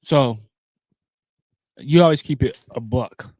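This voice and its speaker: A man's voice: mid-range voice, slow pace, darkish tone, no room sound. An American man co-hosting a sports podcast in casual football banter, prodding his co-host with questions and challenges.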